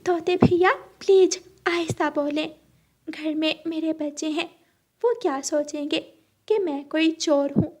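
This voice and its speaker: A woman voicing a polite mother sparrow in a Hindi cartoon story, with a soft, pleading manner. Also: high-pitched, steady tone, unhurried, pitched above the performer's own voice.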